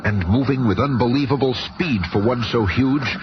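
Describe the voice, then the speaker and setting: A man's voice: medium pitch, normal speed, close to an everyday voice. A man narrating a radio drama in a grandiose, suspenseful scripted style. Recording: muffled, very noisy old radio recording.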